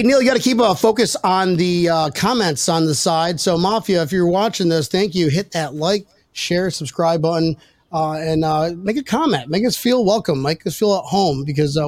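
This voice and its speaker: A man with a high-pitched voice and a dry, close sound hosts a sports podcast. He talks casually, joking at his own expense and swearing freely. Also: lively intonation, moderate pace, dry recording. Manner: jokey, self-deprecating, profane. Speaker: podcast host.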